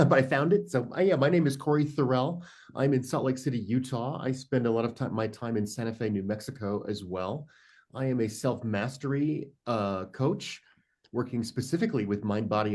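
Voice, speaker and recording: Upbeat guy, lowish voice, zoom intro listing his coaching stuff. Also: a little mellow. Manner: upbeat, lists things quickly. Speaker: self-mastery coach. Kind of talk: self-introduction. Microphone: video call.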